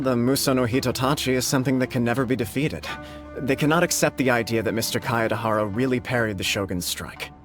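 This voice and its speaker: A composed noble official, voiced by a man, speaking with polished formality and careful persuasion. His mid-pitched voice stays level and diplomatic. The dialogue comes from a game cutscene with faint music in the background. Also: natural voice. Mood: persuasive.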